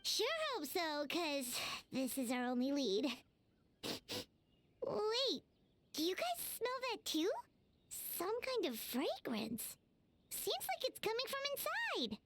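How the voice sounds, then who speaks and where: Squeaky, excitable little fairy girl. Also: slow pace, long pauses, full character voice. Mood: curious. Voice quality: squeaky, childlike. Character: small, chatty, childlike female fairy companion. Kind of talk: anime game voice acting.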